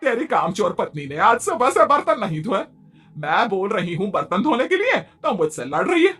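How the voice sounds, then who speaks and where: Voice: medium pitch, big pitch swings, normal speed, fairly bright tone, breathy edge. A performer voicing a quarrelsome, martyred mother-in-law who scolds and complains in a Hindi moral-story cartoon. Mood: scolding.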